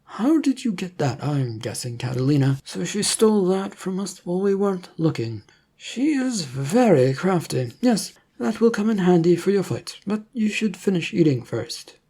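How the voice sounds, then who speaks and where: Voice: medium pitch, very animated, normal speed, dark tone, slightly rough, pitched above the performer's own voice. A narrator voicing a businesslike male government agent who explains things formally in a story. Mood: serious.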